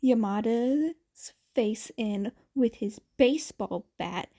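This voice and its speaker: A woman with a high voice narrates a story at an unhurried pace. She reads like an amateur audiobook narrator, expressive and following a script. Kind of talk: scripted narration of fan fiction.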